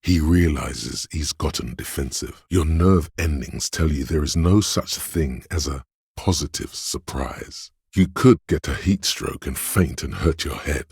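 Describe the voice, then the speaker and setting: A man's voice: a little slow, a little mellow, dry recording, studio quality, exaggerated voice. A man voicing a video game's inner voice of pain, a grim, sardonic, goading presence narrating with brooding deliberation. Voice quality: deep, gravelly, husky. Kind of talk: dramatic second-person game narration.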